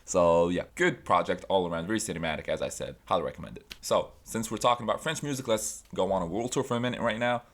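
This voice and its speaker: A man's voice: low-pitched, fast talker, hardly any pauses. A young man from Tunisia, a native Arabic speaker, chatting casually about music he recommends, with loose fillers and restarts. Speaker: music vlogger.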